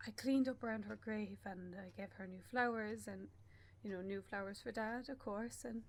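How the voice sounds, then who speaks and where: An actress with an Irish accent playing a grieving young mother in a radio play, speaking haltingly in a naturalistic style. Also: mid-range voice, steady tone, lightly acted. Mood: sorrowful reminiscing. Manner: halting, with pauses and repeated phrases. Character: grieving young bereaved mother, anguished and stubborn.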